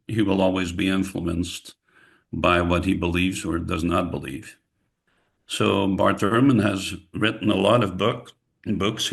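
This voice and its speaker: A man's voice: deep voice, gravelly, fairly bright tone. A man with a Flemish accent, leading a book club discussion over a video call, speaking carefully and hesitantly. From Belgium. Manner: hesitant, careful, apologetic about his English. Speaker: discussion leader. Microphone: computer mic on video call.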